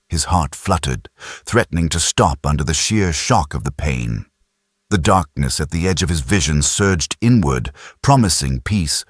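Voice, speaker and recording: A man's voice: very deep voice, husky, dry recording, clean studio sound. A man reading dramatic science fiction as an audiobook narrator, with grave, intense storytelling delivery. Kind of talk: dramatic scripted sci-fi narration.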